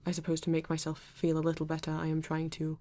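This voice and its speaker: A woman voicing a polite, formal male android detective in an ASMR roleplay, with a British accent and stiff, measured phrasing. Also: sits low, monotone, mellow, natural voice. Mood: pensive, measured.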